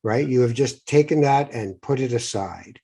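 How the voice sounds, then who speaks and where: Husky man telling an animated story. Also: medium pitch, normal speed. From Morocco. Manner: animated storyteller, acts out quoted voices, frequent restarts. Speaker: podcast host. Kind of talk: conversational interview.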